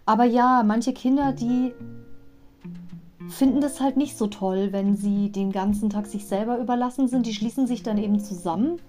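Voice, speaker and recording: A woman's voice: a little high, moderate pace, warm tone, soft background music. A woman hosting a fan podcast, retelling a children's audio story in a chatty, digressive way with personal asides. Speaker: podcast host.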